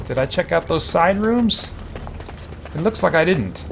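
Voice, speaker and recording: A man's voice: fairly high pitch, expressive, a little slow, creaky, background noise. A man streaming a game, chatting casually and thinking aloud as he plays. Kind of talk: casual live game commentary. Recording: game music behind the voice.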